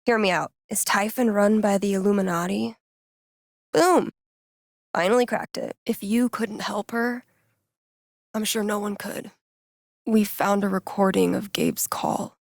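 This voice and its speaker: An actress as a wry, unhurried young American woman. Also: mid-range voice, dry recording, studio quality, close to an everyday voice. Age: young adult. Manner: casual, teasing, deadpan. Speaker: video game voice actress. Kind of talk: naturalistic game dialogue.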